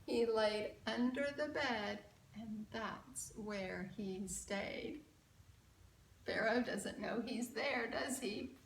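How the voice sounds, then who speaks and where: A woman reading a picture book aloud to children in an expressive, engaging way. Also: on the high side, very slow, gravelly, slight background noise. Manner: expressive, asks the young viewers questions. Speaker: children's storyteller at a church story time. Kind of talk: animated read-aloud for children. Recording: roomy, from a distant mic.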